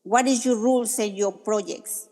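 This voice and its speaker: A woman from Mexico whose first language is Spanish, asking a question slowly in careful non-native English on a video call. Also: a little high, steady tone, warm tone, long reverb tail.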